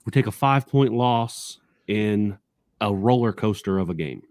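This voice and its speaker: A man co-hosting a casual sports podcast in an upbeat, excited way, recorded in a basement home studio. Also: fairly low pitch, normal speed, dry recording. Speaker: podcast co-host.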